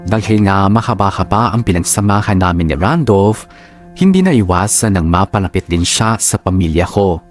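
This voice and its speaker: Low-voiced man narrating over music. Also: animated, moderate pace. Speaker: drama narrator. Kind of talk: scripted first-person story narration. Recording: music under the voice. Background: background music.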